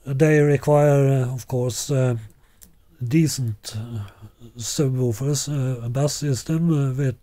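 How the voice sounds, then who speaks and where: A man in his sixties presenting a product in an unscripted way, halting and deliberate with long hesitations. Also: medium pitch, fairly even intonation, dark tone, no echo. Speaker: loudspeaker designer presenting a product. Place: small living room, indoors.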